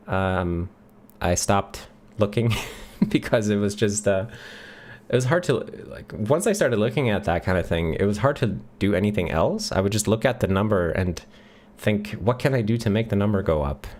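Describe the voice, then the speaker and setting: A middle-aged man with a Swedish accent answers viewer questions on a livestream, speaking in a fairly low voice. He is relaxed and unhurried, pausing with frequent ums as he thinks aloud. He is recorded close on a boom mic in a home office. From Sweden. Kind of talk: casual live Q&A. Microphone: studio mic on a boom near the face.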